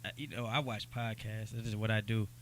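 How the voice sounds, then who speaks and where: A man's voice: fairly low pitch, little pitch movement, a little fast, fairly bright tone, slight background noise. An American man hosting a podcast in a casual chat style, with slangy, informal talk aimed straight at the listeners. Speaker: podcast host.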